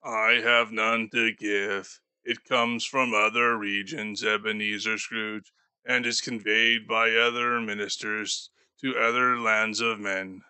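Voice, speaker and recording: A man voicing a doomed, grieving male ghost bound in chains, speaking slowly and gravely for an audiobook. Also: fairly low pitch, little pitch movement, pushed and bright, no echo, exaggerated voice. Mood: mournful. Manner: slow, solemn and grave. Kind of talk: audiobook character voice.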